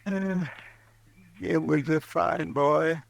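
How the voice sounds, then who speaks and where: An actor playing a frightened, slow-witted old settler who stammers in a halting country drawl, in an old radio drama. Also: male voice, on the high side, steady tone, gravelly, dry recording, full character voice. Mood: mournful. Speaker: old man witness. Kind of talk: 1950s radio drama acting.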